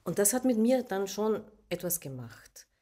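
A middle-aged woman with an Austrian German accent and Slovene as her first language tells a personal story in a reflective, hesitant way, pausing and checking in with questioning asides. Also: medium pitch, very animated, warm tone. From southern Austria, Carinthia. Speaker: interviewee.

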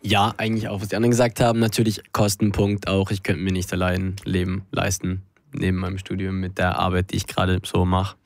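A young man speaks casually as a guest in a studio talk. His voice is deep and stays on a very even, unvarying line, recorded dry. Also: a little fast, hardly any pauses, no echo. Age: 20s. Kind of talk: casual chat.

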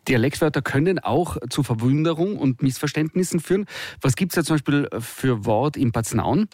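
A man, hosting a radio show and introducing a guest in a smooth announcer manner. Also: fairly high pitch, animated, normal speed, darkish tone, no room sound, studio quality. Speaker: radio host. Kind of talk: radio presenting. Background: music.

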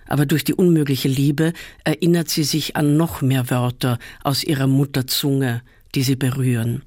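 A man reading a first-person literary essay aloud in a measured, calm way, often slowing down. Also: fairly high pitch, dry recording, clean studio sound. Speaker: reader.

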